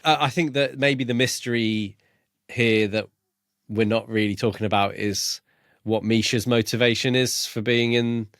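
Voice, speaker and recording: A man co-hosting a podcast, casually discussing a book in a tentative, halting way as he thinks aloud. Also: mid-range voice, steady tone, fairly bright tone, dry recording, studio quality. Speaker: podcast co-host.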